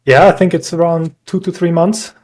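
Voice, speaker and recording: A man's voice: on the high side, level tone, moderate pace, darkish tone. A young Swiss man, a podcast guest, talking in a chatty, self-deprecating way and laughing often over a video call. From Switzerland. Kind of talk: casual conversational interview.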